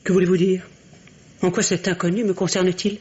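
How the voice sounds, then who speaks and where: An actress playing a bitter, unhappy middle-aged wife in a French radio drama, halting and repeating words with volatile emotion. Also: female voice, lowish voice, flat delivery, darkish tone, slightly rough, muffled recording. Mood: defiant, guarded. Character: bitter, grey-haired, unhappy wife, trapped and theatrical.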